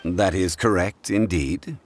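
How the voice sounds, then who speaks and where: An actor voicing a calm, courteous and learned gentleman in a video game, speaking in a measured, formal way. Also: sits low, a little hiss or hum. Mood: calm, reasoning. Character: calm, courteous, erudite gentleman of quiet authority.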